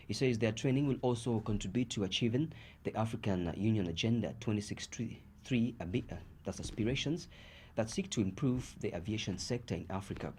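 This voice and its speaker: Low-voiced Zambian man reading news. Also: a little mellow. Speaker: news reader. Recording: faint background noise.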